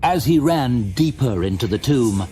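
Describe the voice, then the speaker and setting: Male narrator, mid-pitched voice, dramatic fantasy storytelling, scripted delivery, clear music bed behind. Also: expressive, measured pace. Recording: loud dramatic music under the voice.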